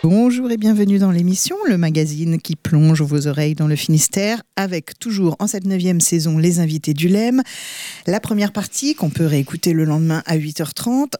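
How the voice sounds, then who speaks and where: A woman's voice: on the low side, normal speed, no room sound. A woman hosting a local radio food magazine, chatty and playful, introducing guests and asking questions. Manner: lively, warm interviewer voice, teasing asides. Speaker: radio host.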